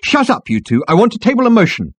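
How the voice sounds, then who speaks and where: A man putting on a strident, high-pitched bossy marketing woman. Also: huge pitch swings, fast talker, breathy edge, no echo, exaggerated voice. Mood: firm. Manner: firm, insistent, condescending.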